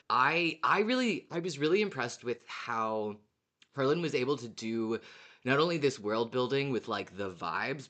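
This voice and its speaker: A podcast host in a casual fan discussion, talking in an animated way with plenty of swearing. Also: mid-range voice.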